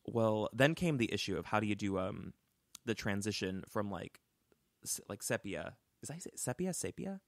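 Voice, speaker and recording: Young male podcast co-host, low voice, dry close mic, casual and chatty, rambles with fillers. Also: moderate pace, creaky, no room sound. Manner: chatty, animated, full of "like" and restarts. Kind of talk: casual storytelling chat. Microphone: close studio mic.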